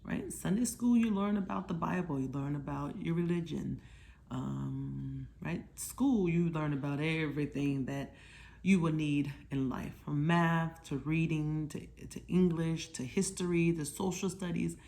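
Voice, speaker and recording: A woman with a slightly low voice leads an informal lesson for children. She explains things in simple, conversational language. Like a teacher, she often poses questions and checks that her young listeners understand. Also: unhurried, gravelly, dark tone. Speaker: children's storytime host.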